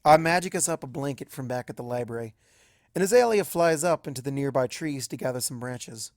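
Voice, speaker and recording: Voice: medium pitch, fairly quick, a little mellow, dry recording, clean studio sound. A narrator reading a first-person story aloud in a narrative storytelling style. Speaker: fan fiction narrator.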